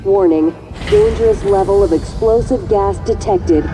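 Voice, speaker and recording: A woman voicing a station safety system in a game, reading evacuation warnings in a measured, impersonal way, in an echoey hall. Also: medium pitch, noisy background, lightly acted. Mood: calm. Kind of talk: automated evacuation announcement. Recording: echoey hall with long reverb.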